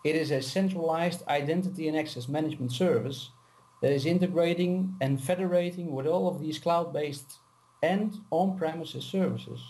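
A man answering an interview question in an office, in a measured, explanatory way. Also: on the high side, dark tone, low-quality recording. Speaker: solution account architect.

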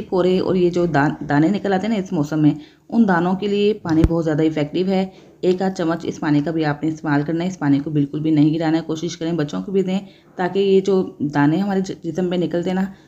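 A woman's voice: fairly low pitch, warm tone, muffled recording. A woman with a Pakistani Urdu accent, hosting a cooking channel and patiently explaining each step of a recipe and its benefits in a voice-over. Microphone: voice-over over hands-only cooking footage.

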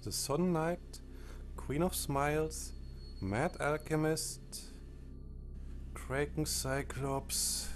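A man whose first language is German, talking over a game menu in halting phrases that break off mid-sentence. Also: a little high, fairly even intonation. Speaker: let's play commentator. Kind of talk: game commentary with disclaimers. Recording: faint game menu music behind.

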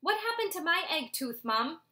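A woman's voice: extremely high pitch, very animated, normal speed, pitched above the performer's own voice, heavily acted. A woman voicing a small, curious, timid owlet boy in a storybook read-aloud. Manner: quiet, wondering.

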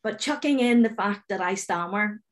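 A woman's voice: medium pitch, moderate pace. A woman in her forties with a Northern Irish accent, speaking on a video call panel and stammering openly with repeated sounds, direct and confident. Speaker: webinar panellist, finance manager. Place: home room, indoors. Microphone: video call webcam.

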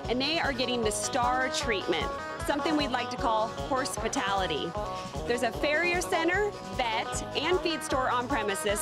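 A bubbly, chatty woman in her thirties hosts upbeat segments with a playful bounce. Her voice sits high and moves a lot. Music plays clearly behind her. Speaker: TV host. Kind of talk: upbeat hosting and promo presenting.

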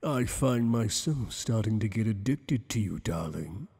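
A man's voice: lowish voice, lively intonation, vocal fry, a little mellow, husky, in a large hall, full character voice. A man voicing a teasing, possessive monster boss. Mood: flirtatious. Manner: teasing, flirtatious, slow and intimate. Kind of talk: ASMR roleplay.

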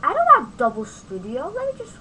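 A woman giving a casual, off-the-cuff software tutorial, thinking aloud as she demonstrates on a home microphone recording. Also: high voice, huge pitch swings, fairly quick, poor audio. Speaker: tutorial host.

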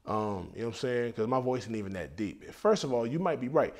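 A man's voice: mid-range voice, animated, quick pace, darkish tone, lightly acted. Man acting brazen slangy American slacker. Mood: indignant. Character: laid-back, brazen, broke late-night hookup guy. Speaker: actor in a comedy sketch. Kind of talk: casual comedic dialogue in American street slang. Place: furnished indoor room, filmed sketch.

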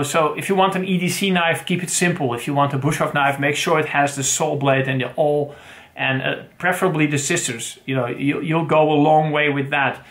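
A man's voice: on the high side, fairly bright tone. A middle-aged man casually reviewing pocket knives on his own channel, talking in a loose, rambling way with many fillers and restarts, recorded at home on a desk webcam. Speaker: channel host. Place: home study with bookshelves.

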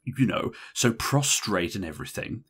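A man reading an audiobook, voicing a brash, irritable young woman in a bridesmaid's role who talks in a shrill, insistent way. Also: animated, normal speed, fairly bright tone, slightly rough, exaggerated voice. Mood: gossiping. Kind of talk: audiobook character voice.

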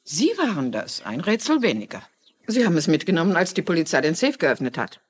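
A woman's voice: fairly low pitch, sing-song, fairly quick, husky. An actress playing a courteous, inquisitive older woman amateur detective in a dubbed TV crime drama. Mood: polite, curious. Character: shrewd, polite older female amateur sleuth.

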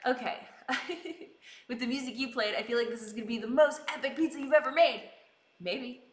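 A woman's voice: a little high, normal speed. A bubbly, giggly woman chatting casually with live viewers as she hosts a stream, full of exclaimed greetings. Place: indoors in a kitchen. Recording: roomy, echoing indoor sound.

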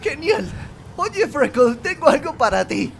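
A woman playing an excitable, theatrical young male cat rascal in a cartoon, with a Latin American accent. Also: sits high, measured pace, vocal fry, slight background noise, heavily acted. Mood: eager. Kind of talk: animated cartoon fandub.

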